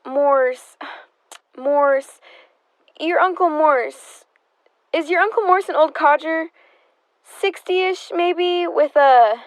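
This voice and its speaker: A woman's voice: high voice, slow, pauses often, no room sound. A young woman acting a brash, nosy, slangy frontier reporter in an audio stage play, bold and pushy. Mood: chummy, wheedling. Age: young adult.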